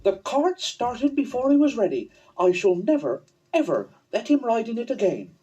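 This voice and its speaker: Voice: mid-range voice, very animated, normal speed, dark tone, slightly rough, pitched above the performer's own voice, rough recording. A man voicing an indignant mother in a storybook reading. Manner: huffy, indignant.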